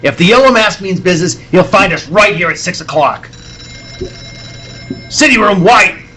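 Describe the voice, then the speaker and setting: A man acting as a blustering newspaper editor in a radio serial. The voice is high and bright and swings up and down with agitation. He barks out orders and trips over words when rattled. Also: lively intonation, normal speed, soft background music. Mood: rattled, anxious. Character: gruff, excitable newspaper editor, an agitated boss.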